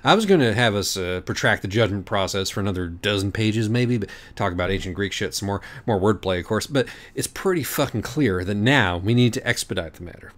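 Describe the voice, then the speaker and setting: A man's voice: on the low side, expressive, brisk, hardly any pauses, a bit bright. Man acting an urgent, exasperated schemer. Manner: urgent, impatient. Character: scheming, exasperated, foul-mouthed male writer.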